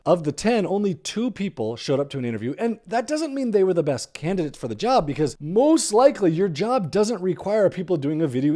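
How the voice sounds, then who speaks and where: A man's voice: on the high side, warm tone. An American man hosting a podcast, giving conversational advice in an emphatic way, repeating key phrases for stress. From United States. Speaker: podcast host.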